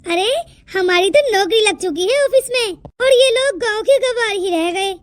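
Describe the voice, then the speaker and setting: A woman's voice: very high-pitched, normal speed, dry recording, clean studio sound. A woman voicing a chatty, condescending young office worker in a cartoon moral story. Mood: smug. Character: smug, snobbish young female office worker. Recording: music behind the dialogue.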